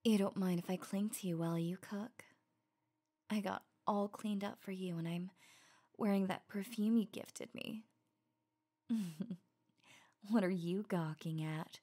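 A woman voicing an affectionate, teasing, possessive werewolf lover in intimate ASMR roleplay, soft and breathy, often slipping into a whisper. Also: mid-range voice. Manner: slow, sultry, playful murmuring.

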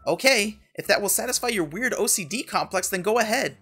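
A woman voicing an excitable, easily exasperated young boy in a web comic dub, with a casual, exclamatory delivery. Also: mid-range voice, a little fast, breathy edge, soft background music. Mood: defensive, irritated.

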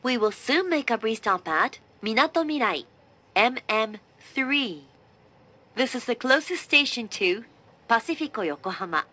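A woman delivering a formal, scripted train announcement, measured and clearly enunciated. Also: fairly high pitch, sing-song, sharp tone. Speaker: English-language train announcer.

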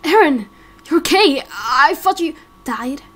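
A woman's voice: sits high, lively intonation, measured pace, a bit bright. A woman voicing an earnest, anxious, protective young adventurer in an amateur game roleplay.

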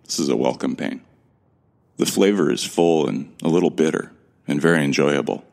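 A man's voice: a bass voice, moderate pace, creaky, darkish tone. A man narrating an audio drama in the first person, earnest and bewildered. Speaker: narrator and lead actor.

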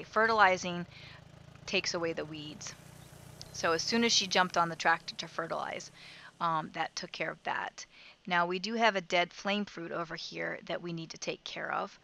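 Woman, casual let's-play commentary, chatty, thinks aloud, lowish voice, light background hiss. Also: creaky, bright, forceful tone. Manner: chatty, thinking aloud while directing her characters.

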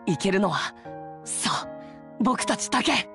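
A man's voice: high-pitched, animated, measured pace, gravelly, pushed and bright, exaggerated voice. A man playing a shy, earnest teenage boy in an anime visual novel game, speaking hesitantly and politely with an eager manner. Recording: background music under the voice.

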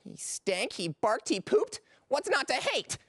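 Lady doing an old nagging wife, sharp tongue, voice all over the place, stage comedy. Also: sits high, sing-song, a little slow, breathy edge, dry recording, studio quality, heavily acted. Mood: scolding. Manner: bickering, scolding.

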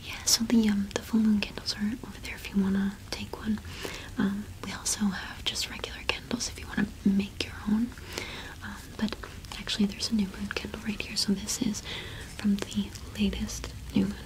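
A young woman playing a friendly, gentle cottage witch shopkeeper in a whispered ASMR roleplay, unhurried and attentive, close to the microphone. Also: medium pitch, level tone, natural voice, low-quality recording. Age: young adult.

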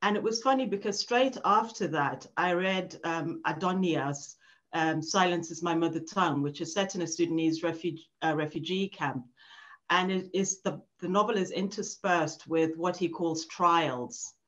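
Woman, middle-aged, lowish voice, academic book-launch talk, halting, lo-fi video call audio. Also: fairly bright tone. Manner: thoughtful, with frequent ums and restarts. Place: home room.

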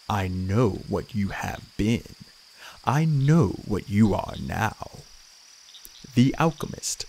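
A man voicing an ancient male horned god who speaks softly, slowly and without emotion, in a low rumble. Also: gravelly, heavily acted. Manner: soft, slow and deliberate.

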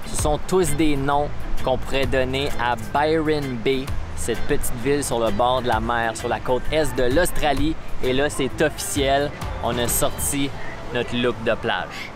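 Upbeat Quebec French travel vlogger, man, mid-pitch, music under. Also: fairly even intonation, unhurried. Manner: upbeat, playful, joking. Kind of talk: casual travel vlog narration. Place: outdoors by the sea. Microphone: camera at arm's length.

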